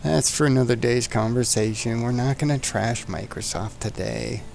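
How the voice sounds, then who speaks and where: A man's voice: mid-range voice, moderate pace, creaky, darkish tone, slight background noise. A man hosting a flight simulator session, chatting casually, joking and talking to the aircraft as he sets it up. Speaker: flight sim streamer host. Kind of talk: casual chat while flying a simulator.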